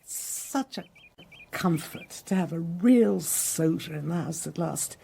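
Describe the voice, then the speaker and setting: A woman's voice: on the low side, expressive, measured pace, vocal fry, mellow, a little hiss or hum, exaggerated voice. An actress playing a genteel, imperious older lady of a country house, with an upper-class English accent, in a radio drama. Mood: indignant.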